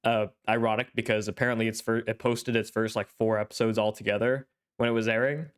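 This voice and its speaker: Young male anime host, stuffy-nosed, smooth low-ish voice, rambling casual commentary. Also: flat delivery, fast talker. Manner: thoughtful, rambling, with frequent fillers. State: congested, clearing his throat. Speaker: anime reaction channel host.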